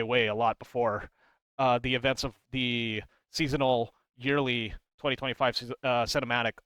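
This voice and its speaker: Man, smooth clear voice, dry close recording, casual commentary, rambling, emphatic, video creator. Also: mid-range voice, sharp tone, no room sound. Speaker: video creator explaining game lore.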